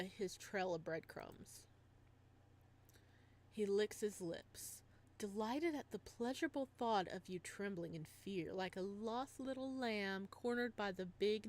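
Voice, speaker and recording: Female let's player, medium pitch, narrating a visual novel dramatically with casual asides. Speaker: let's player reading a game aloud.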